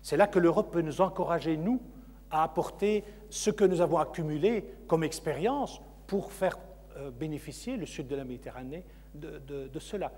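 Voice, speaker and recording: A man's voice: a little high, slightly rough. Hesitant man in echoing lecture hall. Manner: hesitant, many fillers and repeated words. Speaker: university lecturer answering audience questions. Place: conference hall. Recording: long reverb of a lecture hall.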